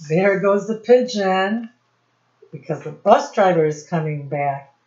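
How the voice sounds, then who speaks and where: A woman's voice: medium pitch, lively intonation, measured pace, darkish tone, dull audio. An American woman hosting a storytime lesson for young children, asking questions and addressing them directly as their teacher. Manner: instructive, asks questions, addresses listeners directly. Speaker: children's teacher and read-aloud host. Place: home office classroom.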